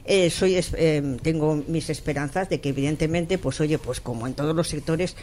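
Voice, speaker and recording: A woman from Barcelona hosting a radio talk discussion, animated and telling stories with acted-out quotes. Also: low-pitched, moderate pace. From Barcelona, Spain. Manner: animated storyteller, acts out quotes.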